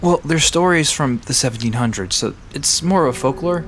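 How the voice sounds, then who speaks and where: A woman's voice: rumbling low, expressive, a little fast, faint music behind. A woman playing an easygoing, knowledgeable park guide in an audio drama, casual and reassuring as they explain things. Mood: explanatory.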